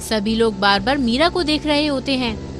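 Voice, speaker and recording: High-pitched woman narrating a story. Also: moderate pace, background noise. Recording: faint music behind. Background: music.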